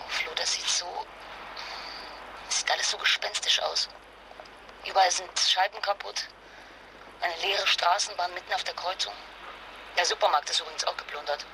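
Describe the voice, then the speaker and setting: An actress playing an anxious but determined wife and mother in a naturalistic radio drama, speaking haltingly and with emotion. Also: lowish voice, fairly even intonation, noisy background, close to an everyday voice. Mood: shaken.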